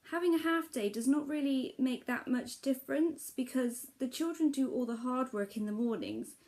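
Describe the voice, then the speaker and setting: A woman's voice: mid-range voice, a little mellow. A woman, a mother talking casually to camera about homeschooling, chatty and explanatory with frequent ums. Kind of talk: casual vlog talk to camera.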